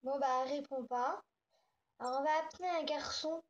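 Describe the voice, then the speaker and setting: Young girl, very high voice, casual and slangy prank caller thinking aloud, muffled lo-fi home recording. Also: moderate pace. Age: child or young teen. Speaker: host making prank calls. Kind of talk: casual chat and prank phone calls.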